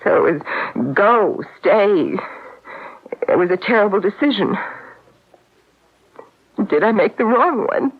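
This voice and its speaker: An actress playing a guilt-stricken married stage actress grieving her friend, anguished and halting, in an old-time radio drama. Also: mid-range voice, expressive, slightly rough. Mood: distraught. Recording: tinny, narrowband radio drama recording.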